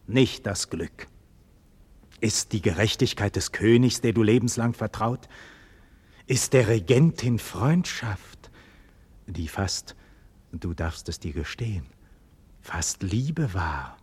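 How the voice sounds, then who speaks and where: A man playing a noble imprisoned hero in a 1940s radio play, declaiming a solemn classical monologue in a husky voice. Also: on the low side. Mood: anguished, questioning. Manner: solemn, declamatory, swelling from murmur to ardour. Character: noble imprisoned hero brooding on death and freedom.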